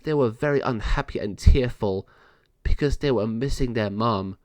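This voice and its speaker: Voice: medium pitch, moderate pace, a little mellow, dry recording. A narrator reading a children's story aloud in a scripted read-along style.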